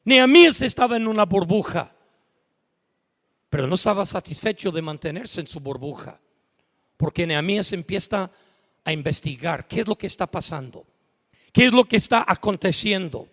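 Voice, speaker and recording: A man preaching a sermon in a church, emphatic and often building to shouts. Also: high voice, animated, normal speed, pauses often. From United States. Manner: emphatic, rising to shouts at peaks. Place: church sanctuary. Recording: church hall reverb.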